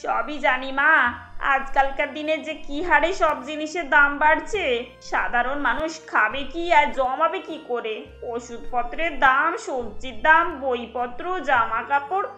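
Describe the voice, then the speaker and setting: A woman voicing a gentle, sympathetic elderly mother-in-law in a cartoon, soothing and lamenting. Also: high-pitched, slow pace, roomy sound, faint music behind, exaggerated voice. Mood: weary lament.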